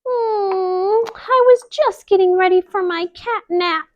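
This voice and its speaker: A woman's voice: very high voice, slow, lo-fi sound, voice pushed up. A woman voicing a lazy, sleepy kitten in a bedtime story, drowsy and mewing.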